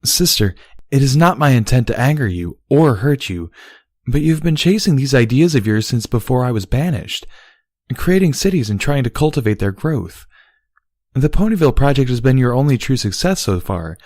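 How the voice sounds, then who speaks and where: Guy doing a formal pony princess for a storybook, raised pitch, measured and stiff wording, dry close sound. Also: sing-song, darkish tone, dry recording. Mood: earnest. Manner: formal, measured, stilted diction. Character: formal, dutiful, argumentative immortal pony princess, younger sister. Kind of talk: dramatised storybook reading.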